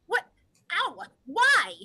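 A woman's voice: very high-pitched, wildly swooping pitch, very slow, sharp tone, full character voice. A woman playing a proud, exasperated condor, emphatic and declaiming, in a play reading over a video call. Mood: alarmed. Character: proud, exasperated condor, a huge flying bird. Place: room at home.

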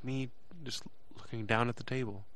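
A man's voice: medium pitch, fairly even intonation, fairly bright tone, natural voice. Man acting as a hesitant, earnest teenage boy. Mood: reflective, uneasy. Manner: halting, hesitant, repeats words. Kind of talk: first-person audio drama narration and dialogue.

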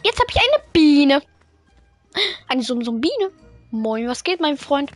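A woman streaming a video game with friends, excitable, reading chat aloud and laughing often. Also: high-pitched, takes it slowly, faint music behind. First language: German. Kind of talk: casual live-stream gaming chat.

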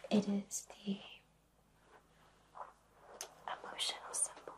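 A woman whispering breathily in a soothing, reassuring way with long pauses, guiding an ASMR Reiki session. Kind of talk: whispered ASMR personal attention.

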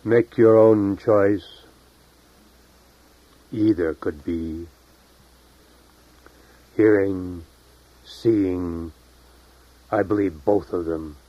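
Very slow, deep-voiced man reading poetry. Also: fairly even intonation, no echo. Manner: measured, deliberate phrasing with long pauses. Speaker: poet reading own work. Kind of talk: poetry reading, solemn and unhurried. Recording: dull lo-fi 1970s tape recording.

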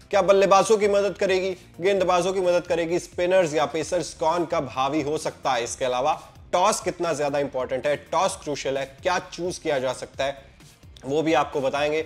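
A young man with a Hindi accent presenting a cricket pitch report in a brisk, emphatic, scripted way, filmed head and shoulders in an indoor room. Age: young adult.

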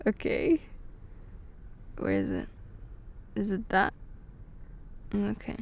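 A woman's voice: mid-range voice, big pitch swings, takes it slowly, lo-fi sound, exaggerated voice. A woman playing a playful, affectionate girlfriend in an intimate ASMR roleplay, giggly and slipping into whispers. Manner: breathy giggles, dropping into whispers.

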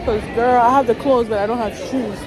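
A woman in her thirties chatting excitedly to her phone camera while walking through city streets and a busy train station. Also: on the high side, fairly quick. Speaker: vlogger. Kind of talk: casual walking vlog. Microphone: handheld phone held close. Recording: noisy street and station crowd.

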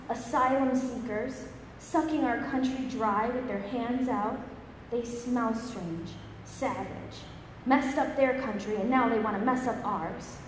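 Woman reciting solemn poetry in echoey church. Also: fairly high pitch. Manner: measured, serious, emphatic phrasing. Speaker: reader at church service. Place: large church interior. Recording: echoey church hall, faint background noise.

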